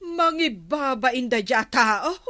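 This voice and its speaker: A stern wife of a village captain in a radio comedy drama. Her voice sits fairly high and she takes her time over her words. She questions and reproaches like someone used to scolding. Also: expressive, slow pace, bright, forceful tone, exaggerated voice. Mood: suspicious.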